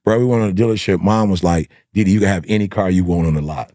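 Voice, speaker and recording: A man telling a story in an animated, casual way, slangy and emphatic, often punctuating with bruh and bro. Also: low-pitched, fast talker, dry recording, clean studio sound. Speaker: podcast host.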